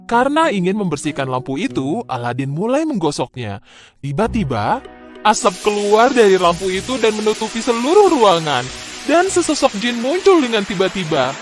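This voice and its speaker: A man narrating a scripted children's fairy tale in an expressive, dramatic way. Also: high voice, moderate pace. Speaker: storyteller. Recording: music behind the voice.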